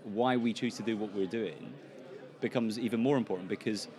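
A man's voice: on the low side, fairly quick, a little mellow, a faint crowd. A man with a Scottish accent, a church planter, explaining in a discussion and asking himself rhetorical questions. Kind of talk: podcast discussion.

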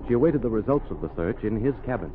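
A man narrating a 1930s radio drama in a measured, dramatic way. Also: on the low side, expressive, a little hiss or hum. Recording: tinny old radio recording with music.

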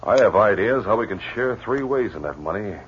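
A ruthless, scheming cafe owner in a 1950s radio drama. His voice is very deep and husky, and he gives orders curtly. The old recording sounds dull and lo-fi. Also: expressive, a little fast. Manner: commanding, curt. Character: scheming middle-aged male cafe owner, ruthless boss. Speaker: actor.

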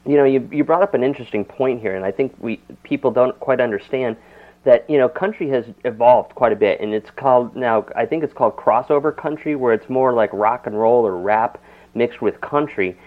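A man's voice: medium pitch, fairly quick. A man hosting a radio interview in a casual, conversational way, often stumbling and repeating words. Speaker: radio show host. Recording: tinny phone-line sound.

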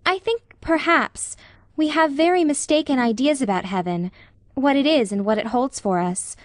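Audiobook role: gentle, earnest young woman, played by a female reader, raised pitch, reassuring, close dry sound. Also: moderate pace, no echo, natural voice. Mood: earnest, consoling. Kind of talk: audiobook character dialogue.

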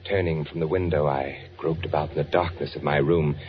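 An actor playing a hunted, fearful spy in a 1940s radio thriller, narrating in a brooding, deliberate way. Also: male voice, rumbling low, fairly even intonation. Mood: brooding, watchful. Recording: muffled 1940s radio recording with music.

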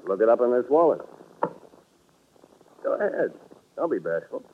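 A man's voice: mid-range voice, big pitch swings, moderate pace, breathy edge. An American actor playing a brash, shady racketeer in a 1940s radio drama, with slangy, clipped tough-guy talk. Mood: pushy, casual. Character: brash shady racketeer, cool, pushy client. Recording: tinny band-limited old radio broadcast.

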